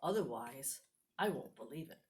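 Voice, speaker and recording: A woman's voice: rumbling low, expressive, moderate pace, darkish tone, slight background noise, pitched below the performer's own voice. A woman voicing an earnest, doubting male disciple in a children's storybook, with an insistent, emphatic way of speaking. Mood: doubtful.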